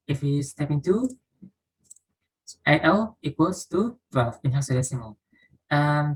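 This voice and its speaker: A woman's voice: deep voice, husky, rough recording. A woman with an Indonesian accent, a student explaining her lab answer step by step while sharing her screen. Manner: steady explanatory, working through steps aloud. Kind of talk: screen-share walkthrough of code.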